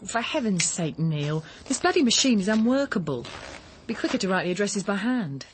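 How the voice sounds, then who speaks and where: An actress playing a blunt, impatient young mother living rough in a radio drama. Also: mid-range voice, sing-song, normal speed, a little hiss or hum, lightly acted. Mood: exasperated. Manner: blunt, swearing, teasing.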